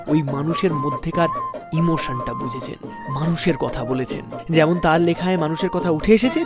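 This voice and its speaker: A man hosting a music radio show, telling anecdotes in a dramatic, emphatic style with deliberate repetition. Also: fairly high pitch.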